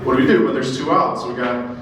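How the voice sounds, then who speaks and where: A man giving an animated storytelling speech at a banquet as a former player. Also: mid-range voice, quick pace. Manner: animated anecdote-telling, acting out quotes. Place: banquet room. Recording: echoey room, distant mic, faint noise.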